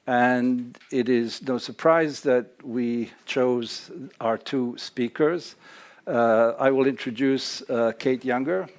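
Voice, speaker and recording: A man hosting a conference panel, introducing speakers with many hesitant pauses. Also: mid-range voice, fairly even intonation. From Serbia. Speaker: conference host. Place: lecture hall or library.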